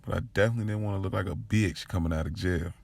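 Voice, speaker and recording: An American man narrating an audio drama in the first person, in a gravelly voice and casual, slangy street vernacular. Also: sits low, rapid-fire, a little hiss or hum. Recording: music bed under the voice. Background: music.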